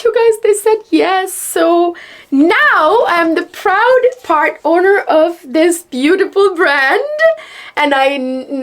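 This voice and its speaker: Middle-aged woman from Sri Lanka, rambling vlog chat, excitable, fairly high voice. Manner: excitable, rambling, repeats words. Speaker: vlogger. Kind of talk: casual chat to camera.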